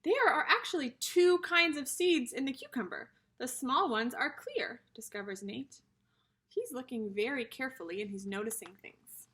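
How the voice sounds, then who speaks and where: A woman teacher reading aloud to young children in an animated, instructional way, with simple questions and emphatic repetition. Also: high voice, normal speed, slightly rough. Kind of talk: children's read-aloud lesson.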